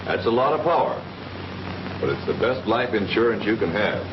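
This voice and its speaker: A man's voice: medium pitch, reverberant, natural voice. A man playing a folksy Army flight captain. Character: folksy Army captain instructing fighter trainees. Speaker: flight instructor. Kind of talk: 1940s training film acting. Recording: muffled old film soundtrack with hiss.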